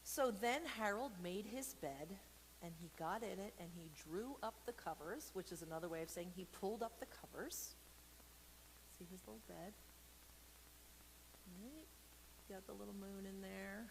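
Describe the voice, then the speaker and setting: A woman hosting a casual read-aloud for kids, playful and expressive, talking straight to viewers with informal, encouraging asides. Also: mid-range voice, moderate pace, creaky, pauses often, in a large hall, background noise. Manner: playful, expressive, with silly sound effects and asides. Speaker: storyteller and church program host.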